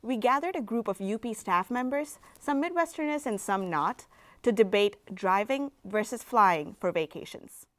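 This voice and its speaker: A woman's voice: mid-range voice, animated, normal speed. A woman presenting a local TV show, reading a scripted segment introduction in an upbeat way. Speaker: TV show host.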